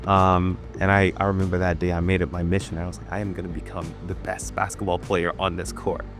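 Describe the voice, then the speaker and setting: A man's voice: sits low, little pitch movement, fairly quick, faint music behind. A man in his thirties from Ghana and Brooklyn, telling personal stories conversationally as an interview guest, repeating phrases for emphasis. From born in Ghana, grew up in Brooklyn, New York. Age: 30s. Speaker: venture capital partner, interview guest.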